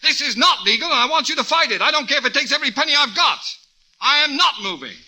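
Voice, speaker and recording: A man's voice: very high-pitched, big pitch swings, quick pace, pushed and bright, heavily acted. An actor playing an exasperated ad man and new homeowner in a 1940s radio play, full of sardonic quips.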